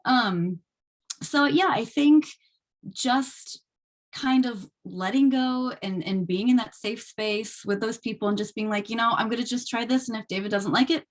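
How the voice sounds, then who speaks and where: A woman speaking as an actor guest on a video call, giving casual, reflective answers about her craft. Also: on the high side.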